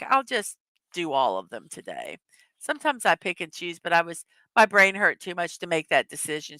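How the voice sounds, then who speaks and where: A middle-aged woman chats through a casual morning livestream, greeting viewers and reading their messages out loud. Her voice has a bright, clear edge that has worn a little hoarse, and the sound is dry and close. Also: mid-range voice, no room sound. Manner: chatty, reads chat messages aloud, laughs often. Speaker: livestream host.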